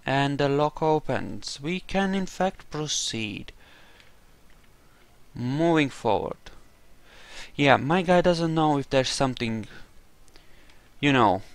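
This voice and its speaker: A man's voice: mid-range voice, lively intonation, slow pace, pauses often, clean tone, dry recording. A man giving casual roleplay playthrough commentary, musing hesitantly as he thinks aloud about what his character believes. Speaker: gaming video host.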